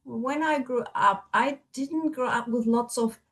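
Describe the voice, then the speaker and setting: A woman's voice: on the high side, a little slow, fairly bright tone. Middle-aged woman with German accent. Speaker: podcast guest, family constellation facilitator. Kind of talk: casual chat, personal storytelling.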